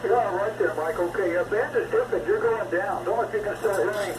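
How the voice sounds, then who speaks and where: A man's voice: high-pitched, fast. An older man chatting casually and in a folksy, rambling way over a single sideband ham radio link. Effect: shortwave single sideband radio. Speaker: amateur radio operator. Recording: noisy, echoey, distant single sideband radio reception.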